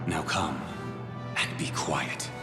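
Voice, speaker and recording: A resentful undead skeleton in a fantasy game, rumbling extremely low. The manner is brooding and ominous, with old-fashioned phrasing, heard in a reverberant space under loud music. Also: male voice, slow. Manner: archaic, brooding, ominous speech. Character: resentful walking skeleton wandering the land of the dead. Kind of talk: dramatic video game voice acting.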